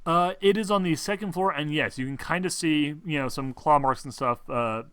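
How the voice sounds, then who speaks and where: A man improvising narration as game master of a tabletop game, thinking aloud in a halting, conversational way. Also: on the high side, fairly bright tone, clear, resonant tone, no room sound.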